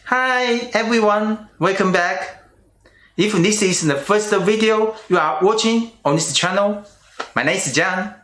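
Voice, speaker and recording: Slow-speaking middle-aged man, Chinese accent. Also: high voice, a bit bright. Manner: slow and careful, with restarts on small words. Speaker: channel host. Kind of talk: personal vlog monologue.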